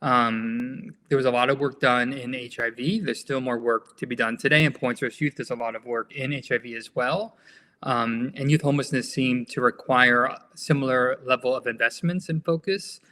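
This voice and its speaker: An American man who founded a nonprofit, answering interview questions in a measured way with frequent pauses. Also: mid-range voice, fairly even intonation, bright, forceful tone. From United States.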